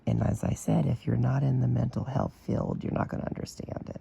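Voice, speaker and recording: A man with a hoarse, damaged voice giving blunt, opinionated commentary in a casual video. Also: mid-range voice, little pitch movement, brisk, mellow, a little hiss or hum. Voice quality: damaged, strained voice. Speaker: vlogger.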